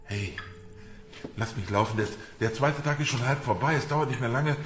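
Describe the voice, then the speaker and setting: A man acting a frightened banker held captive in a radio thriller. He stammers in a mid-pitched, indignant voice that echoes around the room over music. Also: a bit bright, lightly acted. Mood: alarmed, indignant. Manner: halting, stammering. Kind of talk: German radio crime drama acting.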